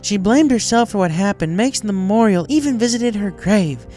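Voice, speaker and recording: Woman as a blunt, high-voiced country farm mare. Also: lively intonation, moderate pace, soft background music, close to an everyday voice. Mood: angry, accusing. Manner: folksy, forceful, exasperated. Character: blunt, protective female country farm pony. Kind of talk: dramatized fan fiction reading.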